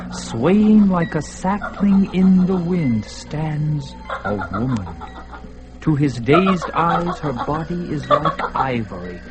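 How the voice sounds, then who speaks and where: A man narrates a radio drama slowly and gravely, weighing every line. Music plays clearly underneath him. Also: a little high, rough recording. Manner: slow, grave, measured.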